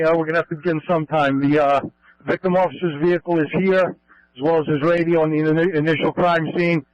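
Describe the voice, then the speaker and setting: A fairly high-voiced man reports over a muffled police radio. He sounds like an officer in the field, matter-of-fact but halting in his phrasing, with a dry signal. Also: no room sound. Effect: two-way radio. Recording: dull lo-fi police scanner radio.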